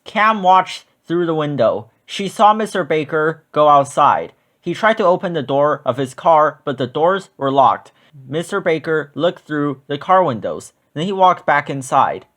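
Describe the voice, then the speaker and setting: A man narrates a children's storybook. His voice sits a bit higher than most men's, and he reads the scripted text aloud for young listeners. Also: animated, a little slow, fairly bright tone. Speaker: storybook reader.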